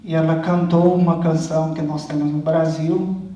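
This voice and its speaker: Brazilian priest in a very echoey church. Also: male voice, fairly high pitch, level tone, moderate pace, mellow. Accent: Brazilian Portuguese, from Goiás. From Goiás, Brazil. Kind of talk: personal testimony to a congregation. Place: large reverberant church. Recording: very echoey church, mic at a distance.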